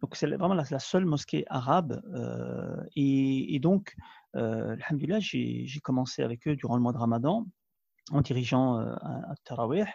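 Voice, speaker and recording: A man's voice: medium pitch, lively intonation, moderate pace, creaky, dark tone, no room sound, muffled recording. A man in his thirties from Belgium, of Moroccan family, speaking as an imam in an interview, hesitant with many fillers and restarts. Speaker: imam, guest. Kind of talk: conversational interview.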